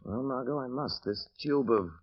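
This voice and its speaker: An actor playing a calm, confident male gentleman detective, brisk and assured, in a 1930s radio drama. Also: mid-range voice, no room sound, natural voice. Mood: determined. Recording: dull old radio recording.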